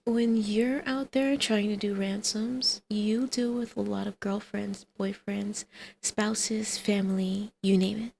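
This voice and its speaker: A woman playing a teasing, flirtatious villainess in an intimate ASMR roleplay, with a creaky voice that often drops to a breathy whisper. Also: medium pitch, fairly even intonation, slow pace, darkish tone, a little hiss or hum. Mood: playful, mischievous.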